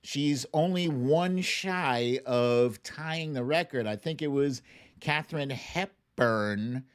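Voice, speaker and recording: A man hosting a livestream with casual commentary, speaking in a halting, conversational way with pauses and emphatic stresses. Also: mid-range voice, clear, resonant tone. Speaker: host of a livestream show.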